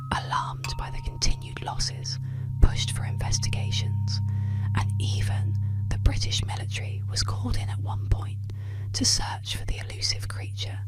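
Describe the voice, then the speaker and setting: Softly whispering woman, ASMR storyteller. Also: extremely low pitch. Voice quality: soft, breathy whisper. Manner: gentle, hushed, measured storytelling. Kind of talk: whispered ASMR scripted narration of urban legends. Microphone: close microphone.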